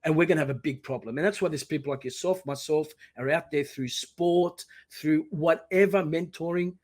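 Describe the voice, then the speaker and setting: An Australian man in his sixties answers questions as a podcast guest. His voice sits a little high, and he talks with animated energy, often acting out the encouraging voices of parents. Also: normal speed. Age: 60s. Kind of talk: casual interview.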